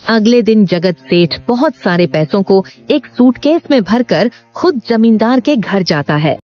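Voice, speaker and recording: A woman's voice: medium pitch, animated, measured pace. A woman narrating a scripted moral story for a cartoon. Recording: dull lo-fi sound, faint music bed.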